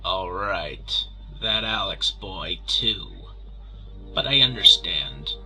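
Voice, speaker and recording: A man's voice: deep voice, lively intonation, takes it slowly, bright, forceful tone, faint music behind, exaggerated voice, low-quality recording. A man playing a concerned, understanding confidant in an audio drama, frank and familiar.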